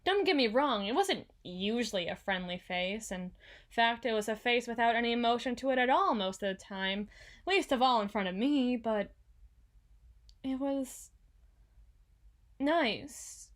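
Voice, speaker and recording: A woman playing a frazzled, proud young female CEO in an ASMR roleplay, halting and stammering as she corrects herself. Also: a little high, expressive. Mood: shy, hesitant.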